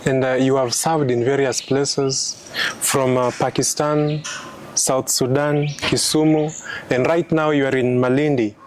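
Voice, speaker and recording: Young male student interviewer, mid-pitched, formal and polite, faint hiss. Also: level tone, unhurried. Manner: polite, formal, some fillers.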